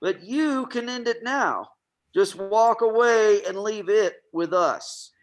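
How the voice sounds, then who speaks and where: A man's voice: high-pitched, takes it slowly. A man playing a menacing, mock-playful villain calling out to people he has trapped, in a horror script reading. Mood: menacing, coaxing. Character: taunting menacing male villain calling out through a bullhorn.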